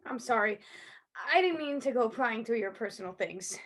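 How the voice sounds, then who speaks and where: A woman playing a courteous, skeptical private investigator in a play reading, questioning in a hesitant, measured way. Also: a little high, lively intonation, fairly bright tone. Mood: courteous, slightly awkward.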